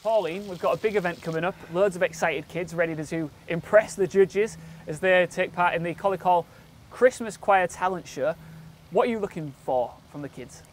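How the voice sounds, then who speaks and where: A man's voice: sits high, moderate pace, slight background noise. A man presenting a local TV documentary, interviewing guests with upbeat, leading questions.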